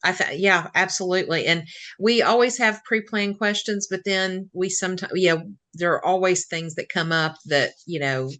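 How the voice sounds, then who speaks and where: A woman's voice: lowish voice, normal speed, a bit bright. A woman who is an educator co-hosting an interview, reacting with eager, encouraging enthusiasm. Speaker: co-host, educator. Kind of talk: casual interview chat.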